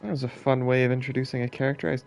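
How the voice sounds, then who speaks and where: A woman commenting casually on a game as she streams it. Her voice is extremely low and gravelly, with slow, wry, muttered asides over faint music. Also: fairly even intonation, darkish tone. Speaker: live streamer playing a game.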